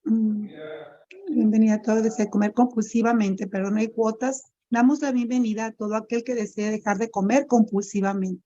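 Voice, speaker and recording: A woman moderating a support group meeting, reading the opening statements and prayer in a measured, formal way over a video call. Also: a little high, fairly even intonation, mellow, lo-fi sound.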